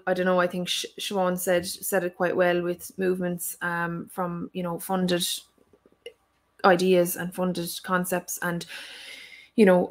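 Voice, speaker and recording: A young Irish woman, a language teacher, giving a thoughtful, earnest answer with many fillers in a live stream Q&A. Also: lowish voice. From Ireland.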